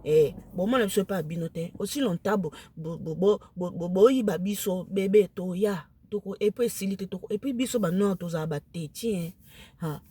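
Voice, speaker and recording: A woman with a Lingala accent, a native Lingala speaker, talking casually to camera, emphatic and excited, switching into French. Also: medium pitch, moderate pace, husky. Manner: emphatic, excited, mixes French into her speech.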